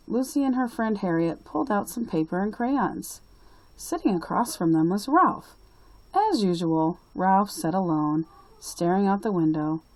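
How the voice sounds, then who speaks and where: A woman reading a children's story aloud as a storyteller, with deliberate, expressive phrasing. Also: mid-range voice, dry recording, low-quality recording. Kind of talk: expressive picture-book read-aloud.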